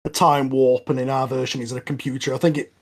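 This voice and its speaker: A man's voice: mid-range voice, little pitch movement, quick pace, breathy edge. A man giving casual watch-along commentary as a writer and co-host.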